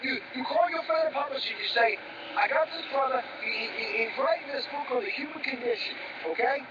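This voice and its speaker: A man's voice: very high-pitched, fairly quick. An actor playing a broke young man who pleads with his brother, cursing and stumbling over his words. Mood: pleading. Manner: profane, pushy, stammering. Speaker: struggling writer asking his brother for help. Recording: echoey, distant, dull, with background noise.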